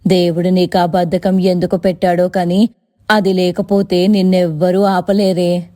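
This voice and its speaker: A warm, dark-toned voice of an earnest village family woman, acted by a woman for a cartoon moral story. Her delivery stays fairly flat in pitch and humble in manner. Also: a little slow, lightly acted. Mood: admiring. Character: humble women of a village tailoring family, mother-in-law and daughter-in-law. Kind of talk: animated moral story dialogue.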